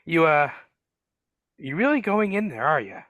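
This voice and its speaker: A man voicing a grumpy, gruff male dwarf gardener, speaking in a cagey, grumbling way over a video call. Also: a little high, big pitch swings, moderate pace, a bit bright, dry recording, lo-fi sound. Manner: grumbling, cagey, rough working-class speech. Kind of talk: live dramatic reading over video call.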